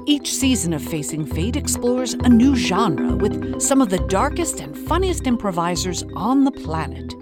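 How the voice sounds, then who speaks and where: Animated, wry woman presenting a podcast. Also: fairly high pitch, normal speed, hardly any pauses, over loud music. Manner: wry, tongue-in-cheek. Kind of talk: scripted podcast introduction.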